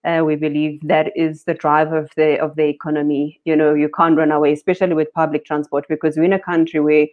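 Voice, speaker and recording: A woman, a company founder, explaining her work on a panel over a video call, steady with frequent ums. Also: low voice, fast, hardly any pauses. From South Africa. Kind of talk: panel discussion talk. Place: indoors, home room with curtains. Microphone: webcam on video call. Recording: dull lo-fi video call audio.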